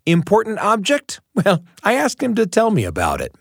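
A man's voice: high-pitched, wildly swooping pitch, moderate pace, vocal fry. A man hosting a radio storytelling show, speaking in a scripted, conversational presenter style.

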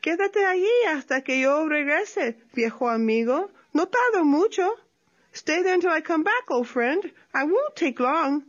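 A woman's voice: sits high, sing-song, moderate pace, pitched above the performer's own voice. A woman voicing a sly, cheerful male opossum trickster who sweet-talks in Spanish and English. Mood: coaxing. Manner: sweet-talking, chirpy, switches Spanish and English. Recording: dull lo-fi recording.

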